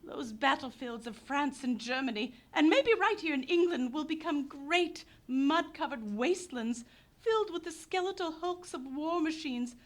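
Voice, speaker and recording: A woman's voice: high voice, lively intonation, normal speed. An actress in a live radio play playing an impassioned young pacifist Englishwoman who speaks in declamatory bursts. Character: passionate pacifist young Englishwoman, fiery idealist.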